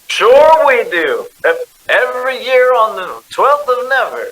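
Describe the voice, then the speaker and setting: Casting: folksy filling-station man, high sharp voice, sardonic, radio play. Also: sing-song, slow, exaggerated voice, rough recording. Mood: amused, scoffing. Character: chatty, scoffing highway gas station attendant. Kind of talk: radio drama acting.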